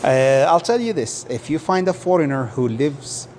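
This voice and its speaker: A man from Saudi Arabia, a native Arabic speaker, answering casually in an interview and often pausing and repeating words as he explains. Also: fairly high pitch, darkish tone, a little hiss or hum. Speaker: interview guest.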